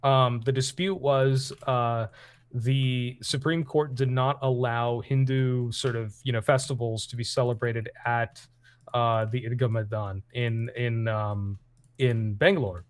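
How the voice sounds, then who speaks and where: A man's voice: mid-range voice, steady tone, measured pace, no room sound. A man hosting a stream, chatting casually about politics with lots of fillers and restarts; English is not his first language. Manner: rambling, many fillers and restarts. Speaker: streamer host.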